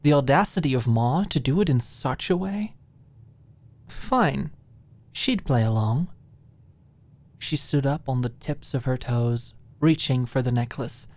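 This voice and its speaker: Really low voiced lady reading a story asmr style, slow, goes soft and hushed at line ends. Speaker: audiobook narrator. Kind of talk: scripted story narration, ASMR.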